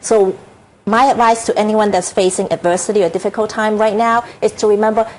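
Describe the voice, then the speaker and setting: A woman's voice: mid-range voice, steady tone, a little mellow, slight background noise, low-quality recording. A middle-aged woman from Hong Kong, with a Hong Kong accent, talking earnestly as a TV interview guest with many fillers and restarts. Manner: earnest, halting, frequent fillers. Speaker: author and inspirational speaker.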